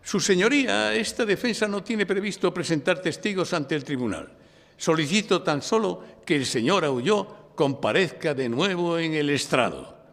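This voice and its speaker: A man from Spain playing a shrewd, composed defense lawyer who narrates his own case deliberately in a live audio drama. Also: fairly high pitch, lively intonation, creaky, fairly bright tone. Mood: formal, persuasive. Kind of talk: courtroom audio drama with hardboiled first-person narration. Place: recorded live before an audience in a library. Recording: live stage recording in reverberant hall.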